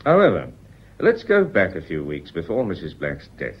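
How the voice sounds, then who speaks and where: An actor playing a courteous, probing British police inspector in a radio mystery play, formal and deliberate in his questioning. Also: low-pitched, wildly swooping pitch, husky, heavily acted. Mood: methodical, polite. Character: probing, measured, courteous male Scotland Yard police inspector. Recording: dull, muffled radio drama recording.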